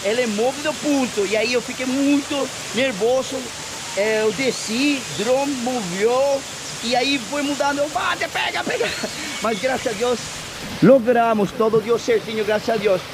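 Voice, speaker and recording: A high-voiced man with as his talks excitedly outdoors beside a waterfall, chatty as he flies a drone. Loud hiss and rushing water sit behind his voice. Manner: excited, chatty, mixes English, Portuguese and Spanish. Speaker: vlogger and drone pilot. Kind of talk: casual outdoor vlog talk.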